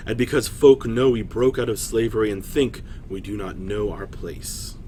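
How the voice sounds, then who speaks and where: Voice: mid-range voice, moderate pace, dark tone, slight background noise, close to an everyday voice. A man playing a proud, solemn female clay golem in a fantasy story, with grave, formal speech.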